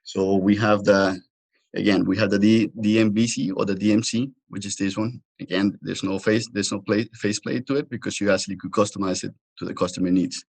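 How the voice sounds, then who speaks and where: A man presenting an informal technical webinar as a product trainer, explaining haltingly with frequent restarts and repetitions. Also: on the low side, fairly even intonation, vocal fry, a little mellow.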